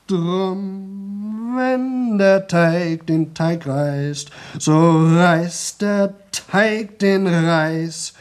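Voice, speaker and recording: An actor playing a young man in a radio drama who talks nonstop for a record, rambling, repeating himself and slipping into singsong. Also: high voice. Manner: rambling, repetitive, chatty, drifting into singsong. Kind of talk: 1970 German radio play monologue.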